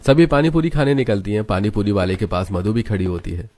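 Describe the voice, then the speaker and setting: A man's voice: fairly low pitch, a little fast, dark tone, a little hiss or hum. A man narrating a scripted story as its narrator.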